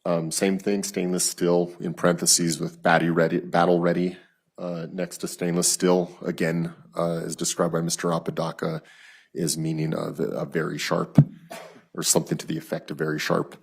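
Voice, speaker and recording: A man with an American accent giving formal, halting, matter-of-fact courtroom testimony as a police detective. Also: sits low, monotone, creaky, slightly rough.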